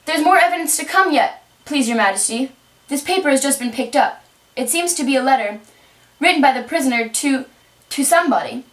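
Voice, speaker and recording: A woman's voice: high voice, animated, brisk, fairly bright tone. A woman voicing an officious, deferential rabbit herald in an amateur puppet show, reciting formally. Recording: roomy, distant microphone.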